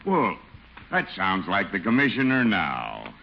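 Genial, animated police chief. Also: male voice, low voice, unhurried, creaky, a little hiss or hum, exaggerated voice. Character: genial, easygoing male police chief, club bass. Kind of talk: 1940s-50s radio sitcom acting. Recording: muffled old radio broadcast.

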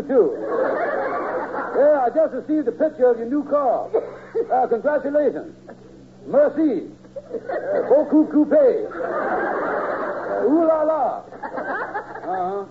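High-pitched scheming salesman, glib, wheedling, folksy, old-time radio comedy, tinny hissy broadcast. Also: exaggerated voice. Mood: showy, jovial. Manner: wheedling, glib, malapropisms, drawn-out hesitations. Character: Kingfish, fast-talking scheming male con-man salesman, folksy. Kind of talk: 1940s radio comedy acting.

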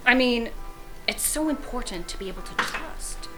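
A woman's voice: a little high, expressive, moderate pace, bright, forceful tone, faint music behind, low-quality recording. A woman playing an arch, pointed middle-aged wife who coolly needles her friend in an audio drama. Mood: hostly, pointed.

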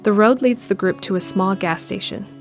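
A woman's voice: mid-range voice, moderate pace. A woman reading scripted narration for an audio drama. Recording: music behind the voice.

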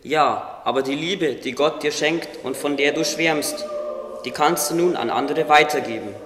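A man's voice: a little high, measured pace, music in the background, close to an everyday voice. A man playing a wise, modest winged creature who encourages a friend, earnest and instructive, in a religious radio play. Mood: friendly, urging. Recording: echoey, long reverb, noisy ambience.

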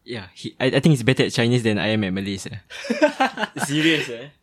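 A young man co-hosting a podcast, talking in a loose, halting way with many restarts. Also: mid-range voice, huge pitch swings. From Singapore. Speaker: podcast co-host. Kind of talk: casual interview chat.

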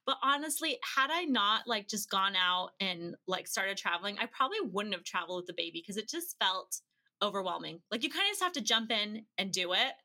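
Bubbly woman with a bright, forceful voice. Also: mid-range voice, brisk. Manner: bubbly, expressive storytelling. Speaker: podcast guest. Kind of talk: casual anecdotal interview chat.

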